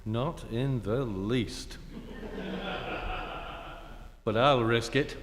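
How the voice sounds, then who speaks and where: Actor as suave, tender old-flame lover, unhurried delivery, radio romance in a reverberant hall. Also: male voice, mid-range voice. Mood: tender, wry. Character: suave, tender ex-husband wooing his former wife. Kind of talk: 1940s radio play acting. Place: theatre stage. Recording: echoey hall with faint noise.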